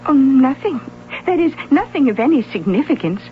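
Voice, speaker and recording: An American actress playing a polite, guarded librarian in an old-time radio drama, speaking with careful hesitation. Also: female voice, high voice, big pitch swings, moderate pace. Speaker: actor. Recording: dull, noisy old radio recording with hum.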